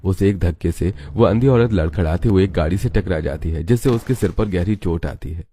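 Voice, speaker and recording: A man's voice: low voice, a little fast, mellow, slight background noise. A man narrating a scripted cartoon story as its storyteller.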